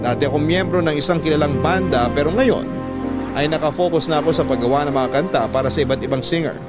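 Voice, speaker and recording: A man's voice: a little high, lightly acted. A man playing a middle-aged musician who narrates a life story as a scripted letter to a radio show.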